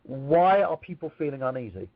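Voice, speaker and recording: Male regular on a podcast, husky and blunt, sharp opinions, dry lo-fi recording. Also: mid-range voice, very animated, moderate pace, no room sound. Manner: blunt, swears freely. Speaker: show regular. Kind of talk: casual chat. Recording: dull, dry lo-fi sound.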